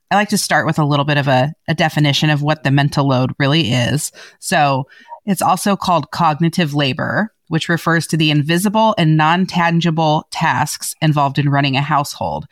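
A woman's voice: low-pitched, dry recording, clean studio sound. A woman hosting a podcast, greeting listeners and introducing a guest in an upbeat, chatty way. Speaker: podcast host.

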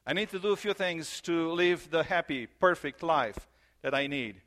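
A man preaching a sermon in English, his second language, earnest and repeating key phrases, slowing down for emphasis. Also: fairly high pitch, fairly bright tone. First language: not English. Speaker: pastor.

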